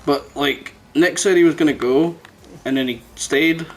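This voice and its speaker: A man's voice: on the high side, unhurried, low-quality recording. A man hosting a casual podcast chat, talking in a sweary, teasing, bantering way. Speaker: podcast host.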